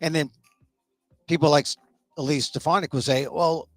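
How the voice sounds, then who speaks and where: A man's voice: fairly high pitch, fairly even intonation, normal speed, no room sound, faint music behind. A middle-aged man hosting a solo political commentary show, opinionated and a little sniffly, often repeating words as he starts a thought. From United States. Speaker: talk show host.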